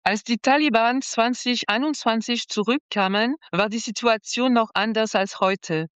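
A woman reading the translation of an interview in the first person, calm, earnest and deliberate. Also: fairly high pitch, clear, resonant tone, no room sound. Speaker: voice-over translator.

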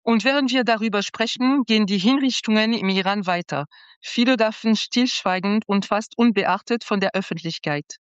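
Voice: mid-range voice, moderate pace, smooth, no room sound, dull audio. A moderator of a news magazine, reading serious news in a sober, scripted way.

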